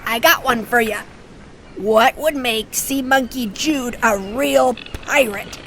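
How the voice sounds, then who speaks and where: A scrappy pirate first mate, a brash and eager dog. The voice is high and sharp, with slow folksy phrasing and a little background noise. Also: female voice. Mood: gleeful. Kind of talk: comic audio drama pirate acting.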